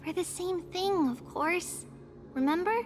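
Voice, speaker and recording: A caring, responsible little girl in a video game. Her voice is extremely high, gentle and encouraging, with articulate phrasing. Light music plays in the background. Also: measured pace. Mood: explaining. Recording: music behind the voice.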